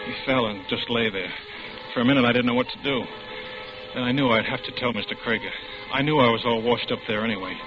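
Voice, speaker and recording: An actor playing an anxious young office clerk in a 1950s radio drama, speaking earnestly and confessionally. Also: male voice, medium pitch, fast talker, lightly acted. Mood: grim, confessional. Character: anxious young law-office clerk recounting his downfall. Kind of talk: 1950s radio drama acting and first-person narration. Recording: muffled vintage radio, loud music bed.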